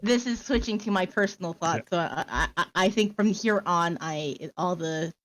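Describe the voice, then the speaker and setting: A woman streamer reading a visual novel aloud and chatting, with a measured, explanatory way of talking. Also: on the low side. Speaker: streamer and narrator. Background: background music.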